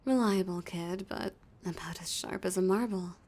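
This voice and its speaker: A woman voicing a flirty, dominant female villain in an intimate audio roleplay, teasing and often dropping into a breathy whisper. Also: fairly low pitch, moderate pace, a little mellow, lightly acted. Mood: teasing, confident.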